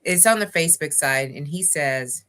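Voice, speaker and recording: Brief: woman host, deep and forceful voice, affirming, reads out viewer comments. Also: animated, moderate pace. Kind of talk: live-stream talk show hosting.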